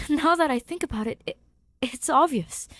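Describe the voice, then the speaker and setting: A woman playing an excited male scientist in an audiobook, explaining a theory emphatically and thinking aloud in pauses. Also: sits high, no echo, clean studio sound, voice pushed up. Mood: excited realization.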